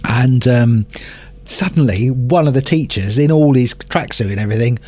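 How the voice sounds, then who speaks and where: A man's voice: mid-range voice, normal speed, darkish tone, no echo. A middle-aged British man co-hosting a podcast, chatting casually and wryly as he reminisces. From United Kingdom. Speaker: podcast co-host. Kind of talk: casual nostalgic chat.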